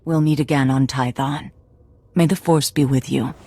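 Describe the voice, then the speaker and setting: A man playing an aged, wise and kindly Jedi mentor in a video game, speaking in a measured, solemn way with a gravelly, husky voice. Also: mid-range voice, steady tone, darkish tone, no room sound, lightly acted. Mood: gentle, reassuring. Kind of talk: video game dialogue acting.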